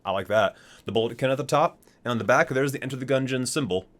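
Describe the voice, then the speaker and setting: A man's voice: mid-range voice, fast talker. A man hosting an ASMR unboxing, chatting casually and reading aloud, rambling, correcting himself and marveling at small details. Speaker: video host, gaming fan.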